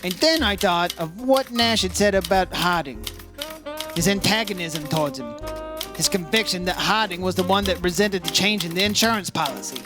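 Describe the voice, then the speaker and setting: A man playing a brisk, hard-boiled insurance investigator in an old-time radio detective drama, terse and matter-of-fact. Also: sits high, music in the background, natural voice. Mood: matter-of-fact narration.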